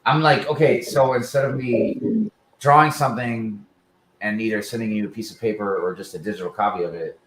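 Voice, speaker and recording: A man's voice: lowish voice, a little fast, a bit bright, slight background noise, rough recording. A man chatting casually as a panel guest, laid-back and peppering his talk with "man" and "dude".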